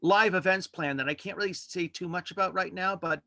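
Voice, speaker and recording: Bright, higher-voiced man chatting casually. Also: brisk, creaky, dry recording, clean studio sound. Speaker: podcast host being interviewed as a guest. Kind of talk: casual interview chat. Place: indoors at home, on a video call.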